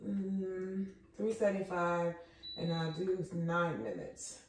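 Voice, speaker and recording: A woman in her thirties chats casually to her vlog audience in a slightly low voice. She is informal and tends to repeat herself. The recording is made at home, with clear room echo and noticeable background noise. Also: slow, a little mellow. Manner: informal, chatty, repeats phrases. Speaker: lifestyle vlogger. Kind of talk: casual vlog chat to viewers. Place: rooms at home, indoors.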